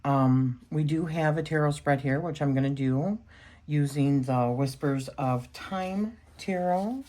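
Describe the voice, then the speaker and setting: Very low-voiced chatty woman reading tarot. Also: a little slow, mellow. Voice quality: strong voice. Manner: chatty, rambling with many fillers. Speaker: tarot reader. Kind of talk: casual spoken tarot session.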